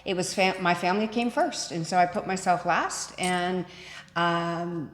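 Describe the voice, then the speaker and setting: Female co-host, 50s, lower register, casual conversational delivery, strongly echoey recording. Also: steady tone, a little slow. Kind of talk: casual chat. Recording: echoey room with long reverb.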